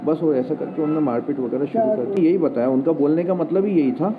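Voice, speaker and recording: A man's voice: fairly high pitch, animated, fast talker. A man giving a spontaneous account of events as an interviewee, on a phone-like recording. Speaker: interviewee recounting an attack. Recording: muffled phone-like sound, loud background noise.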